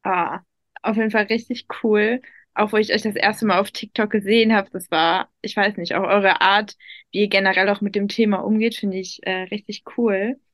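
A woman's voice: mid-range voice, fairly even intonation, moderate pace, fairly bright tone, no room sound. Young female interviewer, mid voice, upbeat and casual, dry close sound. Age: young adult. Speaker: youth reporter, interviewer.

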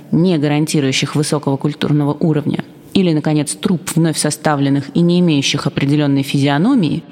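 A speaker reads an official decree clause by clause in an even, formal, bureaucratic tone. The voice sits in the middle range. It is wrapped in a long, lingering reverb. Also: medium pitch. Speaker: reader of a historical decree. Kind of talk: formal document reading.

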